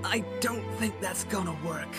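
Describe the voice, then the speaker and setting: A man playing a naive, good-natured hero dad in a cartoon parody, high and husky, earnest and flustered. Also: big pitch swings, moderate pace, music in the background. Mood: weak, matter-of-fact. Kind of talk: comedic anime parody voice acting.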